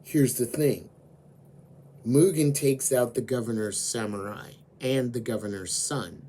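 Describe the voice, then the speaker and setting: A man with a hoarse voice, slowly retelling an anime story as host of his own channel. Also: medium pitch, mellow, slight background noise. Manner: slow, deliberate storytelling with frequent fillers.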